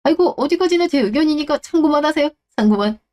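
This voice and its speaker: A woman's voice: high-pitched, animated, quick pace, darkish tone, voice pushed up. A woman voicing a scheming middle-aged man in a radio drama, muttering to himself and acting falsely friendly. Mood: fake friendly. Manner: smug, muttering to himself, then fake-friendly.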